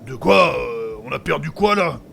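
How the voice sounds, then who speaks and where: An actor playing a blunt, sarcastic adventurer with coarse, slangy speech in an audio fantasy comedy. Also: male voice, a little high, lively intonation, moderate pace, gravelly, fairly bright tone, slight background noise, full character voice. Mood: dismissive. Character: blunt, crude, grumbling adventurer with a mocking streak.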